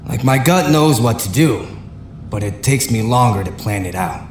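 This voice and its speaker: An actor in an audio drama playing a defiant, sly male prisoner with a flat, sardonic way of talking. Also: moderate pace, lightly acted. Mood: evasive, sardonic. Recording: echoey room, rumbling background noise.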